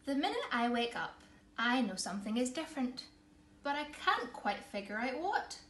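A woman in her thirties reading a picture book aloud to children, expressive and emphatic, recorded in a living room. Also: high voice, normal speed. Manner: theatrical, emphatic. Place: small living room, indoors. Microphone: camera or phone a short distance away. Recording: echoey room, faint background noise.

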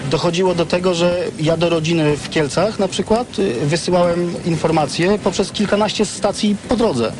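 A man's voice: sits high, moderate pace, hardly any pauses. A middle-aged man answering interview questions in an explanatory, opinionated, articulate way. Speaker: veteran CB radio user, interviewee. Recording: clearly noisy, vehicle sounds behind.

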